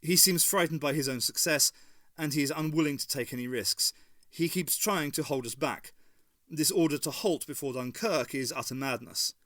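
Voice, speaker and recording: A man's voice: a little high, normal speed, fairly bright tone, no echo, studio quality, lightly acted. A man voicing a stern, exasperated male army general reading from his diary in an audiobook.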